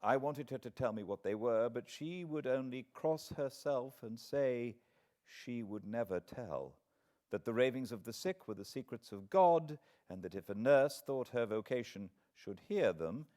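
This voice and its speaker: A man's voice: mid-range voice, lively intonation, measured pace, mellow. A British man narrating a classic novel as a dramatic audiobook reading. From Britain.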